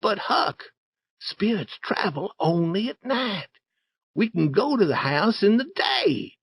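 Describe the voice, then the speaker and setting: A man's voice: high voice, very animated, takes it slowly, vocal fry, a bit bright, breathy edge, voice pushed up, full character voice. A man voicing a bold, eager young boy in a storybook with an urgent, light delivery.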